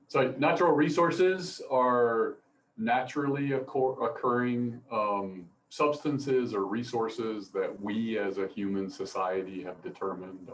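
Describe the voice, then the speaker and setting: Middle-aged male academic panelist from Appalachia, fairly low voice, measured and explanatory delivery. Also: slight background noise, low-quality recording. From Appalachia, mountains of North Carolina and Virginia. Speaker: professor, panelist. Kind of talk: informal panel talk and explanation.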